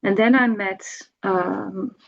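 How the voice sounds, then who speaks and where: A middle-aged woman shares a personal remembrance in an informal way. Her voice sits at an ordinary pitch for a woman, and she speaks haltingly, searching for words and repeating phrases. The audio is dull and lo-fi. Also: fairly even intonation, gravelly. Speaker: devotee giving a personal homage.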